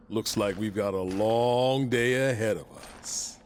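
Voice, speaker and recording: An actor playing a wry, skeptical companion fighter in a video game, dry and unimpressed. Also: male voice, on the low side, a little hiss or hum. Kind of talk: video game cutscene acting.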